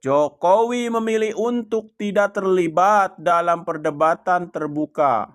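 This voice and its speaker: A man delivering scripted political commentary narration in a measured, deliberate way. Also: high voice. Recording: faint background music.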